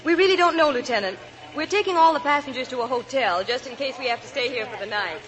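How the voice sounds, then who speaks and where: An actress playing a polite, reassuring airline stewardess in a 1940s radio drama. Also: female voice, sits high, fast, fairly bright tone, poor audio. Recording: old radio, faint noise and chatter.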